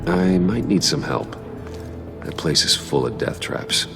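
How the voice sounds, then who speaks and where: A man playing a guarded, composed billionaire working undercover in a video game, speaking in a terse, dry, measured way. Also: extremely low pitch, lively intonation, gravelly, full character voice. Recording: music behind the voice.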